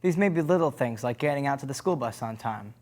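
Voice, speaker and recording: Fast-talking young male co-host. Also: mid-range voice, expressive, a little mellow. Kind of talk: scripted presenting.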